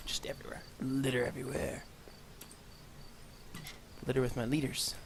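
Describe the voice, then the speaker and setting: A man's voice: medium pitch, little pitch movement, moderate pace, creaky, a little hiss or hum. Rambling congested gaming commentator man. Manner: rambling, chatty, self-mocking asides. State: congested from allergies. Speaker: gaming channel host. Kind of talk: let's play commentary. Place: room with no acoustic paneling.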